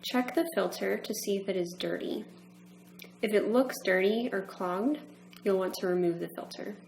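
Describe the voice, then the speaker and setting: Female instructor for a how-to training film, methodical step-by-step delivery, medium pitch, roomy echo with faint hum. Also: normal speed, gravelly, a little mellow. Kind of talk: instructional demonstration narration.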